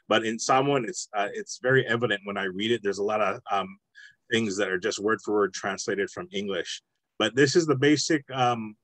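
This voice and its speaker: A man teaching an introductory Samoan language lesson online, explaining at a relaxed pace with frequent ums and uhs. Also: medium pitch, no room sound, low-quality recording. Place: home, online video call.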